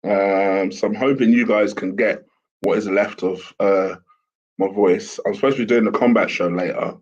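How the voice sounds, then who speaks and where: Host, male, croaky and husky from a sore throat, low-ish voice, chatty and rambling, dull lo-fi sound. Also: steady tone, normal speed, darkish tone. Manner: chatty, rambling with fillers and restarts. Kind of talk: casual solo commentary. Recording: dull lo-fi home recording with fan.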